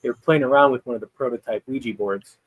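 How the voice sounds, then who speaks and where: A man appears as a guest expert on a video call, explaining history in a loose, conversational way and often pausing on ums and restarting his sentences. His pitch is mid-range. The sound is dry and close. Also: no echo, poor audio. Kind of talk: explaining history in casual chat.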